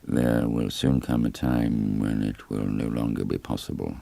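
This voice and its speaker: Man voicing a very deep, sinister village elder. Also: fairly even intonation, gravelly, dry recording, exaggerated voice. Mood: grave, regretful. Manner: measured, formal, quietly menacing. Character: tall cadaverous village mayor, undertaker-like, coldly polite, pagan.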